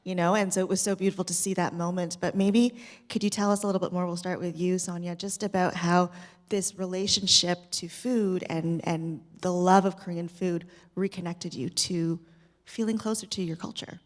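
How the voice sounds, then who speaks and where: Panel moderator: woman, slightly low voice, reflective and conversational, reverberant auditorium sound. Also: steady tone, normal speed. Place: theatre stage at a live screening Q&A. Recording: reverberant hall, stage microphone.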